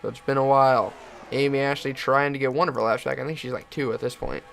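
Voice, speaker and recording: A young man giving excited play-by-play commentary on a video game car race and hosting his channel, getting hoarse as he goes. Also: medium pitch, fast talker, a little hiss or hum. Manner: builds to shouting excitement at the finish.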